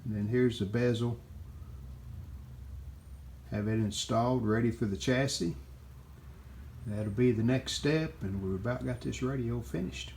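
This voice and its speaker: A man with a mid-range voice hosts a do-it-yourself video. He narrates the hands-on work casually and plainly, without a script, often pausing partway through a sentence. Noticeable background noise and room ambience sit behind his voice. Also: medium pitch, creaky, a little mellow. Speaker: host of a radio restoration video channel.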